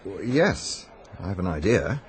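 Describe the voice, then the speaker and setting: Low-voiced, hesitant helper. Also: male voice, sing-song, a little slow. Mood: tentative. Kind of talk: British radio drama acting.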